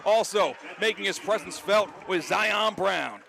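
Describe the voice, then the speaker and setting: An American man doing live play-by-play radio commentary of high school football, excitable and ready to shout the big calls. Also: measured pace, creaky. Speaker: play-by-play announcer.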